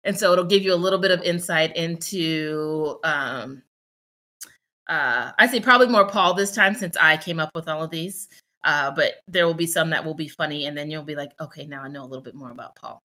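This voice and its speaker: A woman's voice: low-pitched, brisk, bright, forceful tone. Reflective woman co-hosting a podcast. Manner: reflective, candid, many fillers and restarts. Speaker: podcast co-host. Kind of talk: casual conversational podcast.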